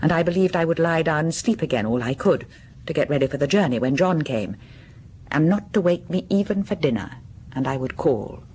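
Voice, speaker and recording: Secretive, unravelling wife, noisy recording. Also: female voice, low voice. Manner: halting, breathy confiding with sudden excited rises. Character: secretive, unravelling Victorian wife, obsessed and paranoid. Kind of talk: dramatic radio monologue.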